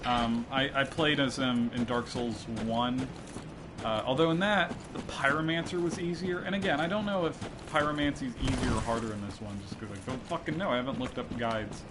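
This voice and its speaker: A man's voice: medium pitch, fairly quick, very noisy. A man giving casual, jokey and profane commentary while hosting a video game stream. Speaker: host playing and explaining the game. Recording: loud game music behind voice. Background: loud video game music.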